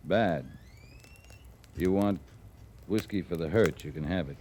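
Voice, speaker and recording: An actor playing a gruff, steady frontier cavalry captain with an American accent, terse and dry, in an old-time radio western. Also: male voice, low-pitched, unhurried. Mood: grave, plain.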